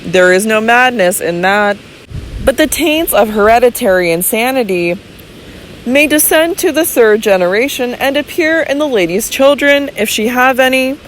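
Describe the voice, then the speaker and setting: A woman reading the part of a calm, deliberate male physician in an audiobook, quiet and measured. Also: on the high side, slight background noise, lightly acted, poor audio.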